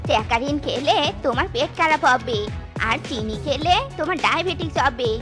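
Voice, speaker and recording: A woman's voice: sits high, lively intonation, moderate pace, music in the background. A woman voicing a doting but spiteful older vixen in a cartoon, coaxing and melodramatic. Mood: warning. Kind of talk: exaggerated cartoon voice acting.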